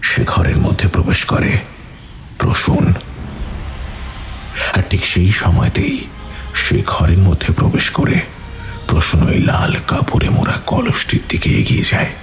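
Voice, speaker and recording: A man narrating a scripted horror story in a dramatic, breathy, suspenseful manner. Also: low voice, huge pitch swings, measured pace, long pauses. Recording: music under the voice.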